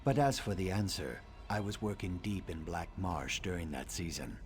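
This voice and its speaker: A low-voiced, scholarly reptilian assassin from a fantasy video game, acted by a man. He speaks formally and with measured care, brooding and wryly sardonic. Some ambient noise sits behind the voice. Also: lightly acted. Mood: pensive. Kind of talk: video game dialogue acting.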